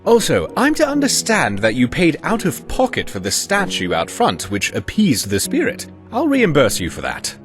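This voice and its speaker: An actor playing a polite, fussy, scholarly museum curator in a fantasy game, chatty and formal in manner. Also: male voice, on the high side, huge pitch swings, normal speed, few pauses, over music. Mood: genteel, relieved.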